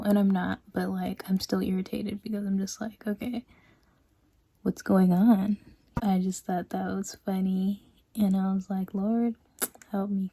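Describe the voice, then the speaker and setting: A woman in her twenties chatting casually in a personal vlog, full of "like" and "um", sometimes dropping to a soft, hushed tone. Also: medium pitch, no echo. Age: 20s. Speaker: vlogger. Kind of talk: casual confessional vlog chat.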